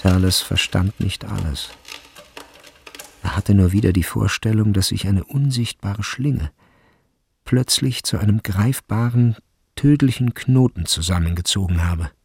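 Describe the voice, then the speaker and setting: A man narrating a literary radio play in a measured, restrained and sober manner. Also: lowish voice, vocal fry, darkish tone, husky, no echo, clean studio sound, exaggerated voice. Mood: grave, restrained. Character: sober literary narrator of a boarding-school novel.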